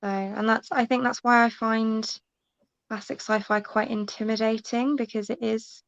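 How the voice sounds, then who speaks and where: Slightly muffled, band-limited call audio carries a woman's fairly high voice with little room echo. She talks casually about books as a guest. Her phrasing is halting and tentative. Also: fairly even intonation, vocal fry. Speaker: live show guest. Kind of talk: casual book discussion. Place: indoors, livestream video call.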